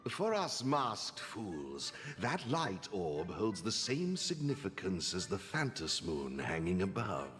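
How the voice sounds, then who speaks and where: A man's voice: sits low, very animated, slow pace, hardly any pauses, a bit bright, big-room sound, faint music behind, exaggerated voice. A man voicing a wry male trickster in a video game with a flowery, teasing, genteel manner. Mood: courtly, cryptic.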